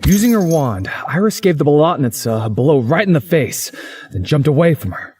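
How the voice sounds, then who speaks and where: A man's voice: on the high side, expressive, moderate pace, a little mellow, a little hiss or hum. A man narrating a visual novel in the first person, as a story's narrator.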